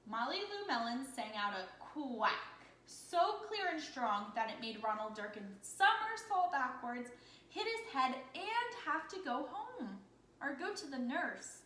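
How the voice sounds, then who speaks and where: Echoey room, woman, teacher reading aloud, bright forceful tone, expressive, encouraging, children's story. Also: high voice, measured pace. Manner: expressive, encouraging, often asking questions. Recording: echoey room with faint noise.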